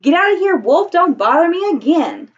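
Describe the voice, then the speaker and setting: Casting: bossy, dismissive boy pig for a picture book female reader, squealing high and loud, muffled sound. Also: big pitch swings, moderate pace. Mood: defiant. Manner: shrill, yelling dismissively. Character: rude, defiant male little pig. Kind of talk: children's storybook read-aloud. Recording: dull lo-fi recording.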